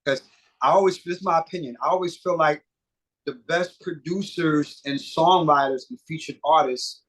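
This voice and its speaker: A middle-aged man, a singer and producer, chatting casually as an interview guest, animated and swearing freely with many fillers and restarts. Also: on the high side, moderate pace, rough recording.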